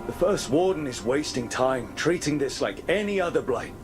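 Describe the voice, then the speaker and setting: An actor playing an earnest, resolute hero in a fantasy video game, speaking directly, with music behind him. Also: male voice, mid-range voice, animated, normal speed, lightly acted. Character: earnest, resolute young male hero recruiting allies.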